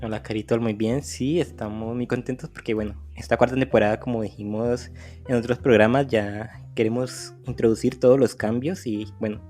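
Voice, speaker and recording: A man co-hosting a podcast interview, friendly and casual, with some halting restarts. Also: mid-range voice, steady tone, a little mellow, soft background music. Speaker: podcast co-host.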